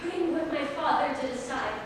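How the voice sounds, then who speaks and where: A woman acts a young stage queen with a high voice, earnest and firm as she confronts those who wronged her. She sounds distant in a reverberant hall, with clear background noise. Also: lively intonation, fairly quick. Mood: pleading. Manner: earnest, dramatic, declarative. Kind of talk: staged play reading. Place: large echoing room, staged reading.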